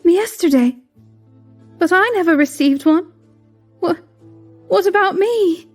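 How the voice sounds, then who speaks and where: Audio drama role: wounded young concubine, high-strung, indignant, high and loud, melodramatic. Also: female voice, expressive, takes it slowly, soft background music, exaggerated voice. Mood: shocked, hurt. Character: petulant, wounded young royal mistress, easily upset.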